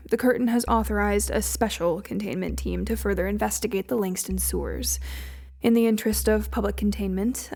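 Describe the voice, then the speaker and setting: Creaky-voiced, brisk female agency official, acted by a woman. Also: mid-range voice, a little mellow, lightly acted. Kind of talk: audio drama acting.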